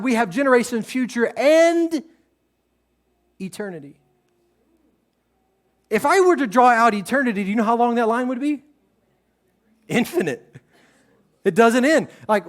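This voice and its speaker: A man, preaching a sermon on a church stage in a relaxed, joking, conversational way. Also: very high voice. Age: 30s. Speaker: pastor.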